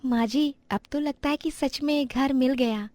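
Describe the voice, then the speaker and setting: A woman playing a gentle, earnest young daughter-in-law in a dramatized moral story. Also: sits high, unhurried, dry recording. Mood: gentle, sincere.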